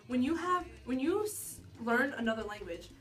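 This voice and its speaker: A woman's voice: high-pitched, expressive, normal speed, fairly bright tone, far from the mic, soft background music. Hesitant young-sounding woman from New York. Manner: hesitant, restarting, with frequent like. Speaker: interviewee. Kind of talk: casual interview answer.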